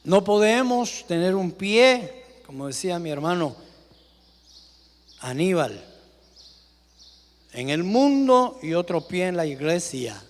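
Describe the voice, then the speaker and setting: A man, a pastor preaching a dramatic sermon that swings from loud calls to hushed asides. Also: high-pitched, very animated, takes it slowly, long pauses. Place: church. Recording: live church sermon with long reverb.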